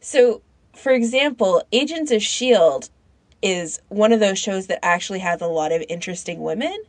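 A woman's voice: medium pitch, expressive, moderate pace, dry recording. A woman co-hosting a podcast in casual chat, informal and opinionated, often peppering her sentences with "like". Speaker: podcast co-host.

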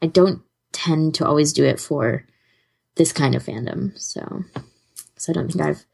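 A woman's voice: sits low, a little slow, gravelly, warm tone, breathy edge. A woman co-hosting a podcast in casual fan chat, rambling a little and correcting herself as she goes. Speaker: podcast co-host.

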